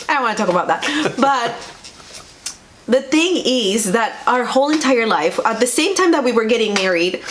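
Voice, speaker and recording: A woman's voice: fairly high pitch, animated, normal speed, noisy background. A woman from Colombia, a native Spanish speaker, telling personal stories conversationally and earnestly, repeating phrases for emphasis. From Bogota, Colombia. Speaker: vlog co-host, pastor.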